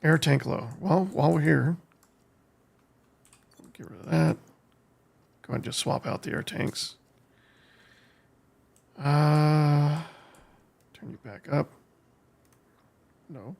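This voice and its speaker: An American man streaming a game, giving casual live commentary in a halting way as he thinks aloud, with a gravelly, husky voice. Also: on the high side, darkish tone. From United States. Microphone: streaming desk microphone.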